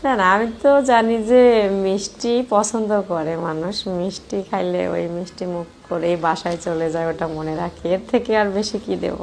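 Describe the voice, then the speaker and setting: A woman's voice: fairly low pitch, moderate pace, creaky. A woman playing a coy, evasive married sister-in-law in a colloquial phone-call drama, chiding as she talks. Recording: faint background noise.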